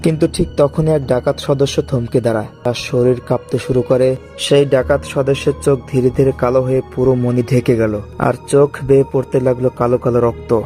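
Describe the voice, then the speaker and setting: A man narrating a horror story in an even, measured manner. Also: mid-range voice, soft background music.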